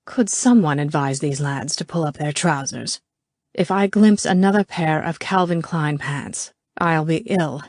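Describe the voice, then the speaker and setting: A woman voicing a pretentious, fastidious younger man with a British accent in an audiobook. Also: sits low, darkish tone, dry recording, clean studio sound. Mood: prim, disdainful. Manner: pompous, fastidious.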